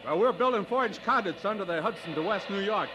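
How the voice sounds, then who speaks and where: A man playing a brisk, plain-spoken work foreman in an old radio drama. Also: high voice, expressive, dull audio, noisy background. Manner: brisk, matter-of-fact.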